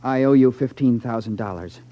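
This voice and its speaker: An actor playing a nervous young rich gambler in 1950s radio drama, polite and hesitant, stalling while bluffing confidence. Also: male voice, medium pitch, brisk, slight background noise, lightly acted. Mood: nervous, defensive. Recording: dull 1950s radio broadcast recording.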